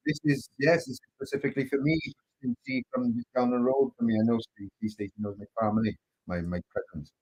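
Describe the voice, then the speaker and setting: A man's voice: medium pitch, fast talker, darkish tone, husky, low-quality recording. A man from Wales co-hosting a casual chat show, with a Welsh accent, joking and self-mocking with stumbling restarts. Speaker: co-host.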